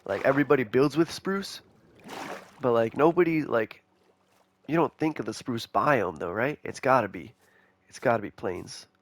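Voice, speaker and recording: A young man giving casual, rambling commentary over a game, excitable and chatty with viewers, his voice a little nasal from a cold. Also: mid-range voice, moderate pace, dry recording. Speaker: gaming YouTuber.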